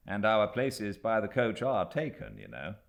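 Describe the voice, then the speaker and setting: A man voicing an earnest, admiring young British gentleman in a classic audiobook, speaking sincerely and eagerly. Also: on the low side, huge pitch swings, measured pace, vocal fry, pitched above the performer's own voice, exaggerated voice. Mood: curious, amused. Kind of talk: dramatised classic audiobook dialogue.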